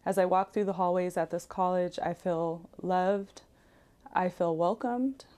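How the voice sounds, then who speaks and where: A woman's voice: on the low side, steady tone, measured pace, a little mellow, clear, resonant tone. A woman, a college student, giving an earnest personal testimonial in an interview.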